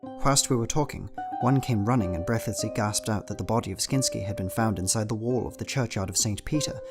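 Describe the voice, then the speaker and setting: A man's voice: on the low side, fairly quick, natural voice. An actor playing a weary young Englishman, solemnly reading his journal in a Gothic audio drama. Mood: grave. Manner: solemn, literary narration. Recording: music behind the voice. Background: plucked string music.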